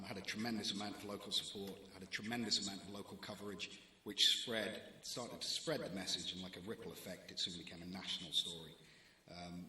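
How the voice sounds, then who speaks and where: A man with a Scottish accent speaks to a hall of students, his voice bright and forceful with a lowish pitch. He tells his stories in a dry, wry way, landing each joke with care. The room rings with echo and a faint hiss sits underneath. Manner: dry, wry storytelling with comic timing. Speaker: newspaper deputy editor giving a talk. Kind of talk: prepared speech to students.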